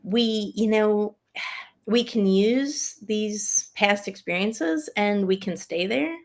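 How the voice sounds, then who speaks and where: A woman's voice: medium pitch, slow. A woman hosting a conversational interview in an effusive, encouraging manner. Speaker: interview host.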